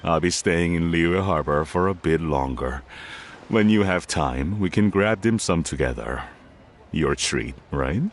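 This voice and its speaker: An actor playing a middle-aged father in a video game, gruff but softening and plainspoken, proud of his son. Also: deep voice, animated, a little slow, a little hiss or hum. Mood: fond, gentle.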